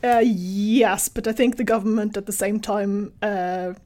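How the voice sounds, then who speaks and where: A woman whose first language is Swedish speaks English in a casual podcast chat. She has a mid-range voice and a relaxed manner. She often turns her points into questions for the other host. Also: mid-range voice, measured pace. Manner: conversational, questioning.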